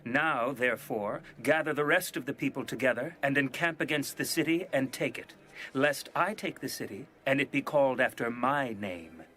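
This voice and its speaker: An actor playing a commanding army general in a dramatized audio Bible. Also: male voice, medium pitch, moderate pace, sharp tone, a little hiss or hum, lightly acted. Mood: urgent, commanding. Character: commanding male army general sending word from battle.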